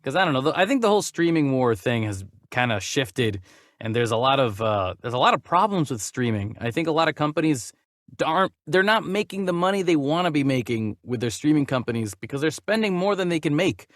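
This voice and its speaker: A man hosting a casual movie news chat in an animated, jokey and irreverent way. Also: fairly high pitch, fairly quick, no room sound, clean studio sound. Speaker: podcast host.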